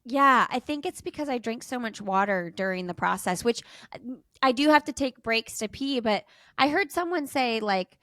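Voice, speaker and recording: A woman's voice: on the high side, expressive, normal speed, fairly bright tone, dry recording, clean studio sound. A chatty woman guest, a podcast host and race announcer, talking casually and laughing often. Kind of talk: casual chat.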